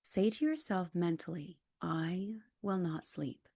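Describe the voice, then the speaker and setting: A woman leading a guided relaxation as a yoga nidra instructor, calm and measured, with soft, breathy phrase endings. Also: lowish voice, no echo, studio quality. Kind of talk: guided meditation, scripted instructions.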